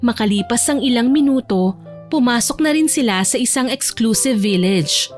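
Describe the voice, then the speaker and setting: High-voiced woman narrating a dramatic story. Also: lively intonation, normal speed, a little mellow, faint music behind. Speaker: narrator and voice actor.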